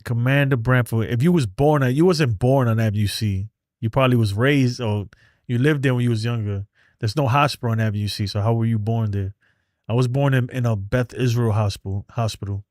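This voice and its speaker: A man's voice: medium pitch, a little mellow, no room sound, clean studio sound. Blunt New man hosting a stream. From New York City. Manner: streetwise, blunt, reads chat aloud. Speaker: livestream host. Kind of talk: casual livestream chat. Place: home in Texas.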